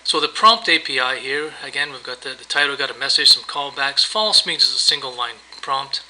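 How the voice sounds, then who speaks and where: A man presenting a software tutorial, narrating a screen demo in a casual, explanatory, step-by-step way. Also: medium pitch, fairly quick, rough recording. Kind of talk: screencast demo narration, semi-improvised walkthrough.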